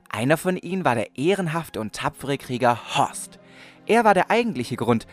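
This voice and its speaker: A man's voice: medium pitch, a bit bright, natural voice. A man playing an earnest but cheeky sixteen-year-old aspiring warrior in a comedic fantasy audio play. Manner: earnest storytelling, slangy when challenged.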